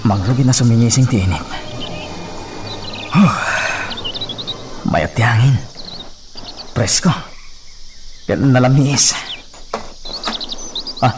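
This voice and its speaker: A man with an Ilocano accent playing a playful, romantic young gentleman from a landowning family in a radio drama. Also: medium pitch, a little slow, long pauses, over music, lightly acted. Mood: admiring, relaxed.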